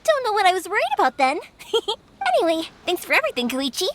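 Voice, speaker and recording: A woman's voice: very high-pitched, big pitch swings, quick pace, a bit bright, full character voice. A woman voicing a cheerful, confident young businesswoman in a video game, chatting in a breezy, teasing way. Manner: breezy, teasing, conversational storytelling. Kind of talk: scripted video game character dialogue.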